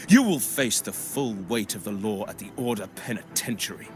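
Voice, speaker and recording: A man's voice: on the low side, lively intonation, normal speed, lightly acted. A man voicing a stern, self-righteous religious enforcer in a video game, with a commanding, declamatory delivery. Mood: stern, accusing. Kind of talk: dramatic game voice acting. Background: music.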